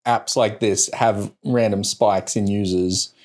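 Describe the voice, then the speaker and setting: A man with a fairly low voice chats casually as a podcast co-host. He pauses and restarts as he goes, with a dryly ironic touch. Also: steady tone. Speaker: co-host of a tech news podcast. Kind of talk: casual chat.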